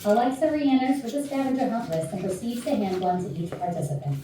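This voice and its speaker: Very dark-voiced woman narrating in an echoey room. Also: mid-range voice, lively intonation, quick pace. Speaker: narrator reading stage directions. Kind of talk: scripted narration at a staged reading. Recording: very echoey rehearsal room, distant, faint noise.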